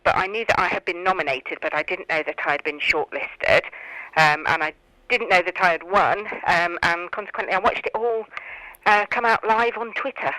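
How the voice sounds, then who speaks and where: A woman's voice: on the low side, sharp tone, dry recording, poor audio. A middle-aged woman with a British accent explaining things as a guest in a radio interview. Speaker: interview guest, college tutor.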